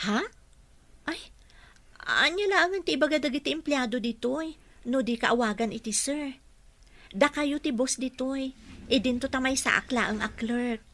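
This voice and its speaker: A woman's voice: high-pitched, moderate pace, pauses often, fairly bright tone. A woman with an Ilocano accent playing a bashful, teasing office clerk in a radio comedy drama. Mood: amused, puzzled.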